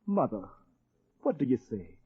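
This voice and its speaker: An actor playing an earnest, hesitant eighteen-year-old dreamer, pleading and stammering, in 1940s radio drama style. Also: male voice, mid-range voice, very animated, measured pace, husky, exaggerated voice. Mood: pleading, torn. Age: young adult. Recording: thin, tinny old-time radio recording.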